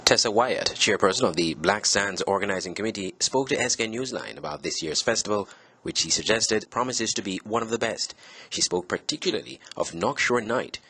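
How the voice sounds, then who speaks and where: A man's voice: on the low side, expressive, fairly quick, sharp tone, slight background noise. A man reading scripted news reports as a reporter.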